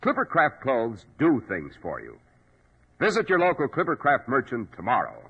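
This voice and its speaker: An American man announcing in 1940s radio style, pitching to listeners with a husky voice. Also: huge pitch swings, moderate pace, very noisy. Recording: dull old 1940s radio recording.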